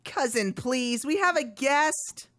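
A woman's voice: sits high, animated, slow, a bit bright, full character voice. A woman voicing an exasperated, melodramatic male duck vampire in a live comic dub parody. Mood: exasperated outburst. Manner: theatrical, exasperated.

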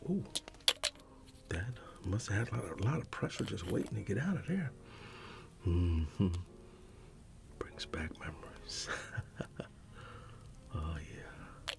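A middle-aged man whispering softly in an ASMR roleplay, reminiscing with gentle chuckles. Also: low-pitched, very animated, takes it slowly, gravelly, long pauses. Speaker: ASMR creator. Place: dim room at home.

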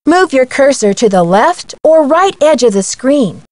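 A woman's voice: sits high, big pitch swings, unhurried, a little mellow, no room sound. A woman playing a young, confident amateur detective, giving friendly scripted tutorial instructions in a video game. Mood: chipper, instructive. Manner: friendly, clear instructional delivery.

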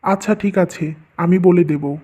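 A man's voice: high-pitched, measured pace, noisy background. A man voicing a mild-mannered young husband in a cartoon story. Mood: agreeable. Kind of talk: Bengali cartoon story dubbing.

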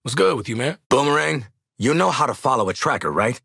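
A man's voice: medium pitch, very animated, fairly quick, gravelly, bright, forceful tone, husky, no echo, clean studio sound, full character voice. A man playing a cocky, wry mercenary marksman in a video game, streetwise and sardonic, barking commands to his squad and swearing freely. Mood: wry, casual.

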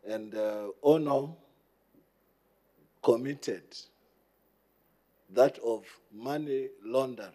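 Man, Zambian English accent, slow measured pace, mellow tone, formal parliamentary speech, halting pauses. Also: a little high, husky. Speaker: member of parliament. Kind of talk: formal point of order.

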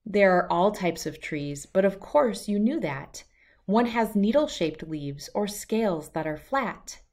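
A middle-aged woman reads a rhyming picture book for children. Her voice sits at an average pitch and she takes the lines unhurriedly. The delivery has a storybook sing-song that follows each rhyme. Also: lively intonation, unhurried, darkish tone.

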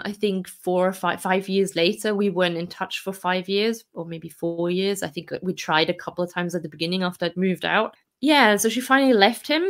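Woman, forties, from Austria, podcast guest, candid, reflective, soft laughs, mid pitch. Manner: reflective, laughs softly between hard memories, many fillers. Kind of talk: candid personal storytelling.

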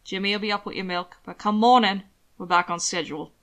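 A woman's voice: medium pitch, brisk, fairly bright tone, natural voice. A woman voicing a cheeky, loyal and plain-spoken male aide in an audiobook story. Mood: firm.

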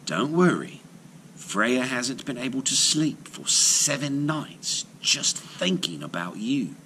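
A man voicing a sly, coaxing storybook trickster god with a drawn-out delivery. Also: mid-range voice, big pitch swings, pushed and bright, a little hiss or hum, exaggerated voice. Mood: sly, hushed. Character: sly trickster god, smooth-talking male schemer.

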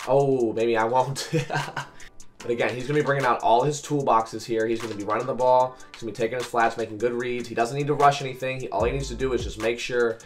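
A raspy, slightly hoarse man commentates his own video game play. He coaches viewers in informal sports slang and repeats short phrases. Faint music plays behind him. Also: mid-range voice. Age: 20s. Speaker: gaming video host and coach. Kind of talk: excited live gameplay commentary. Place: small bedroom, indoors.

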